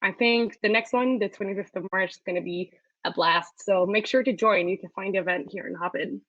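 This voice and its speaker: A woman's voice: medium pitch, a little fast, a bit bright, no echo, highs cut off. Upbeat woman hosting a webinar. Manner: upbeat, with frequent fillers. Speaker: webinar host. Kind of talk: presenting a student startup hub.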